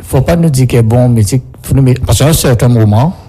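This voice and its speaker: A man's voice: mid-range voice, brisk, warm tone, breathy edge. A man in casual conversation, explaining things as a guest and often repeating and rephrasing himself. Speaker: guest, songwriter for singers.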